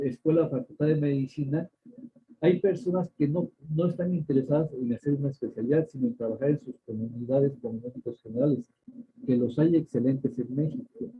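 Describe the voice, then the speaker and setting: An older man with a Mexican accent, a doctor answering interview questions in a measured way, pausing often and restarting his sentences. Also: a little high, slightly rough. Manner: measured, many hesitations and restarts. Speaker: ophthalmologist guest. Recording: tinny phone-like line.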